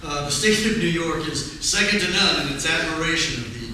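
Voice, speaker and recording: A man's voice: a little high, lively intonation, fairly quick, pushed and bright, distant mic. A man playing a formal, impatient prosecuting attorney in a stage radio play. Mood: impatient, pompous. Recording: echoey stage from afar, faint noise.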